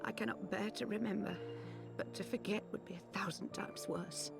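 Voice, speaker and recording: Grieving mother with a creaky voice. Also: medium pitch, steady tone, loud music behind the voice. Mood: mournful. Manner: sorrowful, slow, formal wording. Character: grieving, embittered mother of a fallen soldier. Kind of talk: video game voice acting.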